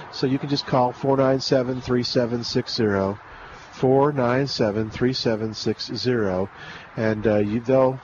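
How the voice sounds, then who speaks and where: Chatty radio garden-show host. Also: male voice, medium pitch, a little mellow, dull audio, slight background noise. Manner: rambling and chatty, many fillers and restarts. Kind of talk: live call-in radio chat. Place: outdoor remote broadcast at a garden nursery.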